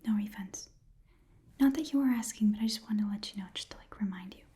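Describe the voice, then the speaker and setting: A woman voicing a shifty, chatty goblin pawnshop keeper in an ASMR roleplay, whispering breathily in a rambling, hesitant way and repeating phrases softly. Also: mid-range voice, fast, slightly rough. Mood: pleased, curious. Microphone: close ASMR microphone.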